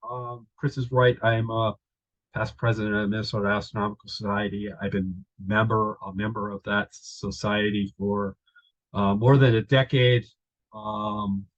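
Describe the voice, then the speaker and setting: A middle-aged man from North Dakota giving a webinar presentation with slides, hesitant and full of ums and restarts. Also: on the low side. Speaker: guest presenter, astrophotographer. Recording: dull lo-fi webinar audio.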